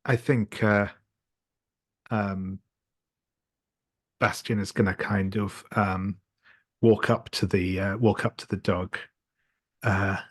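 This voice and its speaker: Slow-talking, low-voiced man at a game. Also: steady tone, no echo, clean studio sound. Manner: halting, many pauses while thinking. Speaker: tabletop game player. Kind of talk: casual improvised roleplaying.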